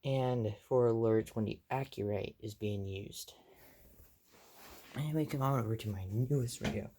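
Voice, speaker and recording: A man's voice: mid-range voice, normal speed, mellow. A man giving a casual, rambling show-and-tell as a hobbyist vlogger, offhand and full of detail.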